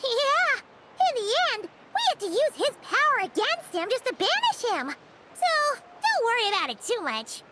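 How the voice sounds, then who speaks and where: A woman voicing a tiny, chirpy, childlike fairy companion in a video game, with a squeaky voice. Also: wildly swooping pitch, moderate pace, heavy background noise, exaggerated voice. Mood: reassuring. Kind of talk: cartoonish game voice acting.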